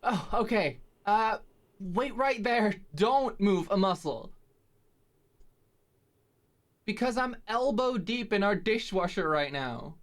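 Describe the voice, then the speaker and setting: A woman's voice: mid-range voice, expressive, a little slow, close to an everyday voice. A woman playing an affectionate, fussing and coaxing ex-manager girlfriend in an intimate ASMR roleplay. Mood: eager, welcoming. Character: doting, eager, dominant ex-manager girlfriend at home.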